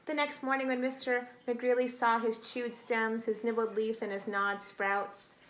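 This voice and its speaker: Female storybook reader, 30s to 40s, teacherly and expressive, addresses kids directly; roomy recording, faint noise. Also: on the high side. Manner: deliberate, dramatic emphasis, addressing young listeners directly. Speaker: teacher reading a picture book aloud to children. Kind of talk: expressive children's storybook read-aloud. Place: small indoor room.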